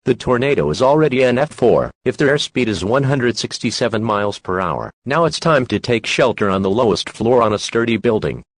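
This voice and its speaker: Animated synthetic male emergency announcer. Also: mid-range voice, fairly quick, few pauses, a little hiss or hum. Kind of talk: scripted emergency weather alert narration.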